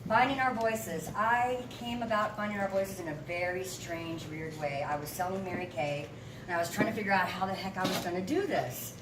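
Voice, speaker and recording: A woman in her fifties giving an animated, candid and emotional personal testimony as a guest speaker. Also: lowish voice, normal speed. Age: 50s. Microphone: room mic at a distance. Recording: echoey hall from far off, noisy.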